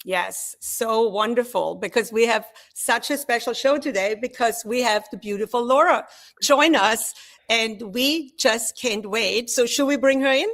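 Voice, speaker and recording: A woman's voice: a little high, a little slow, a bit bright. A woman with a German accent co-hosting a live skincare stream, upbeat and explaining how skin works. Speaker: co-host.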